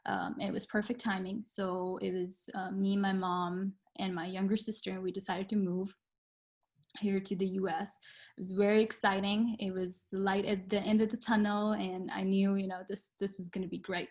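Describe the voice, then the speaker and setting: A woman's voice: mid-range voice, little pitch movement, normal speed, a little mellow. A young woman from Pakistan, a guest on an interview show, telling her story in an earnest, reflective way with some hesitant fillers. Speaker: guest, medical student.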